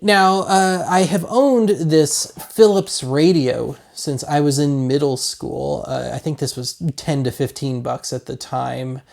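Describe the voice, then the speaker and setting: A man's voice: a little high, slow pace, gravelly, few pauses, a little mellow. A man in his thirties from Colorado with an American accent, chatting casually through a product review in a wry, rambling way. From Colorado, United States. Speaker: host of a hobby video series.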